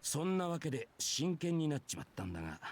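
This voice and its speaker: An actor playing a brash, easygoing male warrior in a visual novel, telling his past in rough, casual, nonchalant speech. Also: fairly high pitch, sing-song, rapid-fire. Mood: casual, boastful. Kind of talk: Japanese visual novel voice acting.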